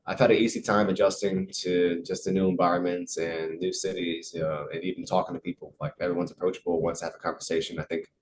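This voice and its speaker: Young american man, very low voice, fast talker, relaxed and rambling, faint room hum. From United States. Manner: relaxed, repeats words, many fillers. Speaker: professional soccer player. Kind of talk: casual interview answers.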